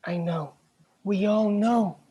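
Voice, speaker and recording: A woman's voice: medium pitch, slow, dark tone, slightly rough, highs cut off. A woman acting a blunt, sarcastic, exasperated millennial best friend in a rom-com script read, with dry delivery. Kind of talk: screenplay table read, rom-com dialogue.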